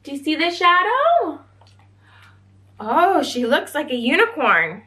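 A young woman storyteller reading a picture book aloud to children, expressive and full of wondering questions. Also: high voice, takes it slowly. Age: young adult. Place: small indoor room.

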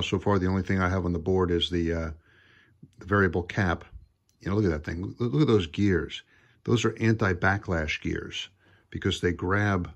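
A man speaks in a very low voice with plenty of gravel and a husky grain. He presents his own hobby project off the cuff, casual and full of admiration, as if walking viewers around his workbench. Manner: enthusiastic, admiring. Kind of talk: casual unscripted show-and-tell narration. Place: indoor home workshop at a workbench.

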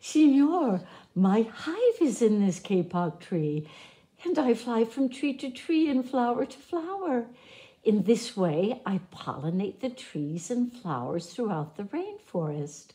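A woman voicing a busy buzzing bee in a storybook, bright and explaining. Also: on the high side, sing-song, takes it slowly, voice pushed up. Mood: cheerful.

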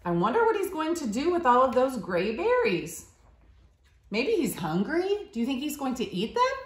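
Expressive woman reading aloud, lively pitch. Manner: expressive, simple clear teacherly phrasing. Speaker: school staff member reading aloud to a child. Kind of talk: picture-book read-aloud with questions to a child. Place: living room, seated on a leather couch. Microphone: camera a short distance away. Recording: roomy, echoey home recording.

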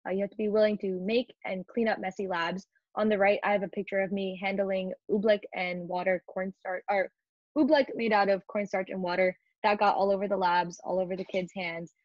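A woman's voice: mid-range voice, dry recording. Teenage girl presenting, dull lo-fi sound. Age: teens. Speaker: student presenter. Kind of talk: informal slide presentation.

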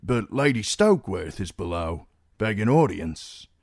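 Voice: deep voice, sing-song, measured pace, a little mellow, husky, no room sound, clean studio sound, heavily acted. A narrator voicing a deferential male castle guardsman in an audiobook, hesitant and apologetic.

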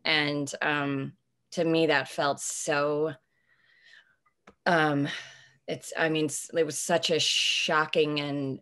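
A woman's voice: deep voice, level tone, takes it slowly, pauses often, fairly bright tone. A woman in her forties, an author answering interview questions over a video call from home, thoughtful and searching, with many fillers and restarts. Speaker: author guest. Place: home room with bookshelves. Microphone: video call webcam.

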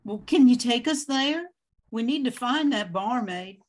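A woman's voice: fairly high pitch, expressive, a little slow. An older woman playing an anxious, desperate widow in an amateur radio drama. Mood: urgent.

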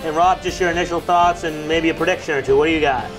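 A man in his thirties hosting a casual local sports talk show in a small studio, upbeat and quick with questions, on a lapel mic. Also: on the high side, fairly even intonation, music in the background, low-quality recording. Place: small brick-walled TV studio set.

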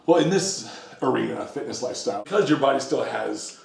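A middle-aged diet coach explaining nutrition in a rambling, casual way, full of fillers and restarts. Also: male voice, mid-range voice, animated, a little fast, creaky, darkish tone. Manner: rambling, many fillers and self-corrections. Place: home kitchen, indoors. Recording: echoey kitchen, mic at a distance.

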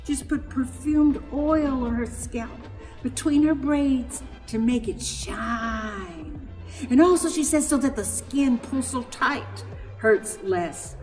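A middle-aged woman reading a children's picture book aloud theatrically, with dramatic pauses and drawn-out words. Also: a little high, big-room sound. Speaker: storyteller.

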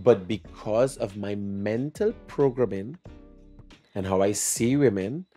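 A man's voice: lowish voice, slow pace, mellow, soft background music. A middle-aged man with a Guyanese accent in an uncensored podcast debate, emphatic, profane and repetitive, often cutting in. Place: small indoor lounge studio. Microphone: podcast microphone on boom arm.

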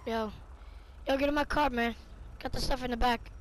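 A woman's voice: a little high, steady tone, normal speed. A woman chatting casually and slangily while playing a roleplay video game. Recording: clearly noisy game audio, vehicle sounds.

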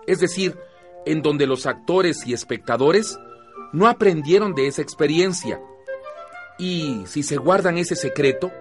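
Male actor as earnest, encouraging group facilitator for radio drama; fairly high voice, measured and didactic, light music underneath. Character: earnest, encouraging male youth workshop leader. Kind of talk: radio drama acting, scripted narration.

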